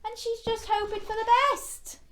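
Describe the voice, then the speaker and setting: A woman's voice: very high voice, monotone, moderate pace, bright, forceful tone. A woman hosting a children's story time, reading a picture book expressively with exclamations and playful questions to young listeners. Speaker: children's storyteller and show host.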